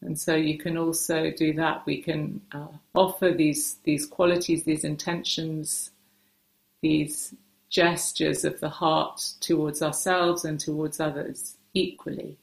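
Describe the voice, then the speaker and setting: A woman meditation teacher giving gentle guided instruction in short, unhurried phrases with hesitant pauses, recorded in a roomy, echoing space. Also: low-pitched, level tone, vocal fry.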